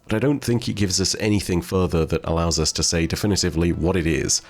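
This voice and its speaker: A man giving video game lore commentary in a measured, explanatory, wordy way. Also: low voice, fairly even intonation. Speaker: video host. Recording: music behind the voice. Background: background music.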